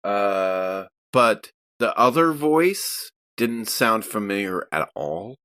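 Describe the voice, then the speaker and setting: A man talks casually as a player in a tabletop roleplaying game. His voice sits fairly low and moves up and down a lot in pitch. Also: very animated, slow pace, sharp tone.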